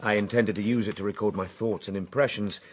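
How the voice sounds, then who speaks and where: Low-voiced earnest Victorian gentleman inventor, played by a man. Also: steady tone, brisk, slight background noise, lightly acted. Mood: earnest, absorbed. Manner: precise, formal, dramatic storytelling. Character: earnest Victorian gentleman inventor recounting his time travel. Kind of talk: radio drama acting.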